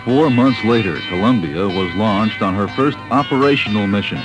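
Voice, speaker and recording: A man's voice: on the low side, expressive, moderate pace, muffled recording. A man with an American accent reads scripted documentary narration, recorded on a 1980s cassette tape. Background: background music.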